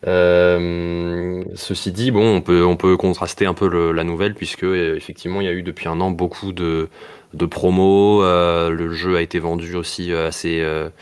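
Man, deep voice, podcast panelist, casual discussion, hesitant phrasing. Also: level tone, moderate pace, clean tone.

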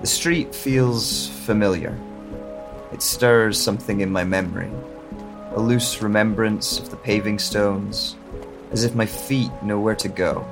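A man with a low voice narrates in a slow, hushed, introspective first person. A music score plays clearly beneath him. Rain falls behind the voice. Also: fairly even intonation.

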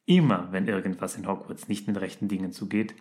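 A man voicing a stern, sneering male professor in a fan audio story, speaking slowly in a hushed, cold way. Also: low-pitched, fairly even intonation, pitched below the performer's own voice, lightly acted.

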